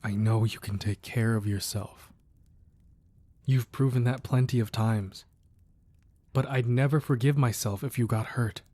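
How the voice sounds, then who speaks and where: A man playing a protective, ruthless crime boss who is tender with his wife, speaking softly and earnestly in an intimate romantic ASMR roleplay. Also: medium pitch, fairly even intonation, no echo, lightly acted. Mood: worried, tender. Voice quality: soft, husky, breathy. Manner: earnest, measured, firm yet affectionate.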